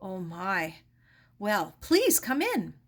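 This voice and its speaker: A woman's voice: mid-range voice, wildly swooping pitch, very slow, heavily acted. A woman voicing a wary, polite young woman in a storybook, anxious and full of exclamation. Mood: nervously polite.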